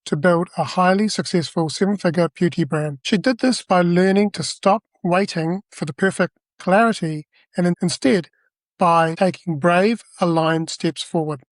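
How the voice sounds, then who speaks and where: A man's voice: high-pitched, normal speed, dry recording. A man hosting a podcast, reading a polished motivational intro and then asking interview questions. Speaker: podcast host.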